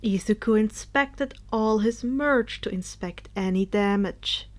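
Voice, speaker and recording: A woman's voice: mid-range voice, slow pace. A woman narrating fan fiction in a dramatic storytelling style.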